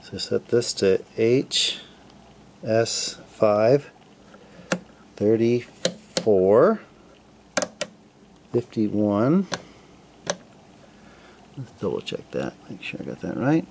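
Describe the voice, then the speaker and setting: A man casually narrating his radio restoration at a home workbench, relaxed and full of fillers as he thinks aloud. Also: fairly low pitch, drawn-out words, gravelly, pauses often, noisy background. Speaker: hobbyist restoring a vintage radio.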